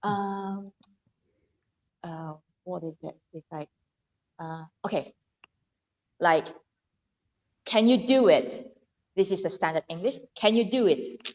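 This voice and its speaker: Lively Malaysian woman with muffled call audio. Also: on the low side, slow, long pauses. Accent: Malaysian Chinese. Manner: animated, laughing, many restarts and fillers. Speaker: guest. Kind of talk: casual live-stream chat.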